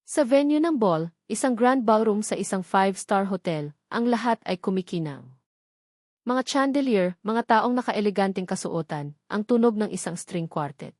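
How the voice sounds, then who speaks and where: A woman narrating a romance story in a measured, dramatic storytelling manner. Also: medium pitch, expressive, darkish tone, dry recording, clean studio sound. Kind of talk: scripted storytelling.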